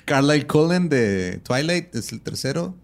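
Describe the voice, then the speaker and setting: A man's voice: a little high, big pitch swings, measured pace, gravelly. A man with a Mexican accent, chatting casually on a podcast and reading out a list. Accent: Mexican Spanish. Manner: easygoing, reads a list aloud. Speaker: podcast co-host.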